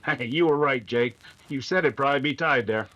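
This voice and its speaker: An actor playing an excitable male outlaw sidekick in an old-time radio drama. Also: mid-range voice, brisk, lo-fi sound, lightly acted. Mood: excited.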